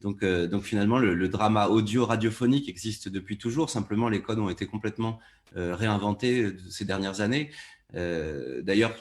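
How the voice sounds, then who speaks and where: Male, lowish voice, producer speaking on a discussion panel. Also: fairly quick, darkish tone. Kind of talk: panel discussion, explanatory talk.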